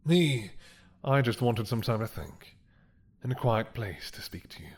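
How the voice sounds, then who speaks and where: A man playing a possessive, doting mad dragon king in a romantic roleplay drama, with a husky, breathy voice that often drops to a whisper and a regal, seductive manner. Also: mid-range voice, sing-song, a little mellow, exaggerated voice. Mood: sardonic, possessive. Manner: slow, regal, seductive purring menace.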